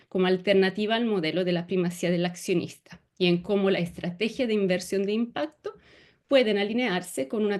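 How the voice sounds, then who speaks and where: A woman's voice: mid-range voice, a little mellow. A woman with a Latin American Spanish accent hosting a webinar, reading a formal introduction of a guest with a few light fillers. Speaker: webinar moderator. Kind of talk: scripted introduction.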